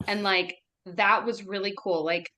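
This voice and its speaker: A woman with a mid-pitched voice chats on a video call. She is enthusiastic and conversational, giving her view in a discussion among actors. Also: steady tone, measured pace, bright, forceful tone. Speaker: actor in a table read discussion. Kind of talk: casual group discussion.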